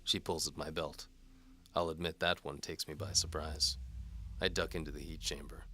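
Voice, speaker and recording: A man's voice: very deep voice, little pitch movement, normal speed, pushed and bright, slight background noise. A man reading dramatic first-person noir narration in a hard-boiled, wry manner.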